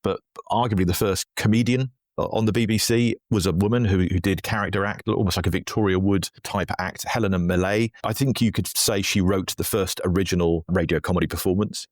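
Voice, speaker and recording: A man being interviewed as a writer and podcaster, telling stories in a casual, animated way. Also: low-pitched, fairly quick, dry recording.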